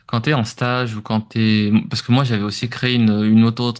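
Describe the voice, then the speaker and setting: A man's voice: mid-range voice, level tone, moderate pace, clean tone. A man with an accent from France giving informal, explanatory interview answers as a podcast guest, somewhat hesitant in his delivery. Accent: French from France.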